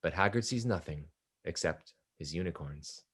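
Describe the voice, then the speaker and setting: A man's voice: low-pitched, animated, normal speed, a bit bright, husky. A man narrating stage directions from a script for a play reading.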